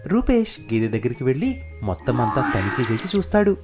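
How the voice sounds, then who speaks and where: Male narrator, children's story, lively swooping delivery, mid pitch, clear music bed. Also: a little fast.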